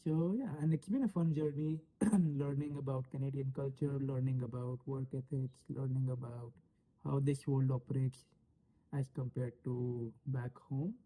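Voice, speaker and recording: Very mellow Indian-accented young man. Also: mid-range voice, fairly even intonation, normal speed, rough recording. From Delhi, India. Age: late 20s. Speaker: panel guest, analytics manager. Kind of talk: conversational self-introduction and answers.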